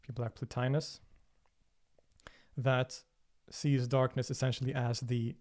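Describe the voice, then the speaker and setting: A man's voice: medium pitch, little pitch movement, a little slow, a little mellow. A man from Sweden whose first language is Swedish, hosting a livestream and answering viewer questions thoughtfully, with frequent fillers and restarts. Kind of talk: casual livestream Q&A.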